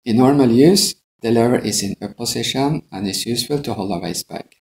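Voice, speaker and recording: A man's voice: medium pitch, a little fast, gravelly, darkish tone. A middle-aged man, an airline pilot, giving a methodical, scripted instructional narration.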